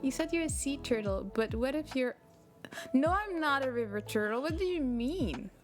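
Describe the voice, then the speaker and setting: A fairly high-voiced young woman with a Quebec French accent chats easily with her stream audience. She laughs often and answers messages as they come in. Music plays steadily behind her. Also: animated, normal speed. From Quebec, Canada. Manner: chatty, laughs often, replies to chat messages. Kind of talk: casual livestream chat with viewers. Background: background music.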